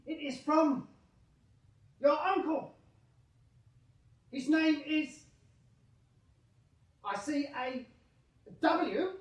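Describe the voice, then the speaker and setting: An actor playing a shifty young Victorian spirit medium who speaks in a hesitant, halting way. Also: male voice, very high-pitched, exaggerated voice. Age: young adult. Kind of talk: 1980s radio drama acting. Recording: echoey stage sound from a distance.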